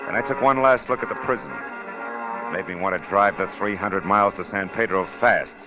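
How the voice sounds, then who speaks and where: A man's voice: low voice, normal speed, lightly acted. An actor playing a wary, world-weary male private eye with a clipped hard-boiled manner in a radio drama. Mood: brooding narration. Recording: dull vintage radio recording, music behind.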